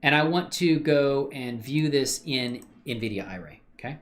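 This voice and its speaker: Man talking to himself mid-task, easygoing and slangy, with a lively, up-and-down voice. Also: mid-range voice, a little slow, slight background noise. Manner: muttering and thinking aloud while working, answering chat; relaxed slangy talk with many fillers. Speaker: livestreamer doing 3D modeling. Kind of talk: casual think-aloud livestream.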